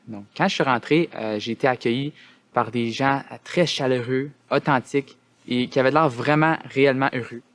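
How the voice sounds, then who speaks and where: A young man from northern Ontario with a Canadian French accent, giving an earnest personal testimonial as a student resident, often pausing on fillers and restarting his phrases. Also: medium pitch, a bit bright, no echo. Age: young adult. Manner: earnest, hesitant with frequent "euh" fillers and restarts.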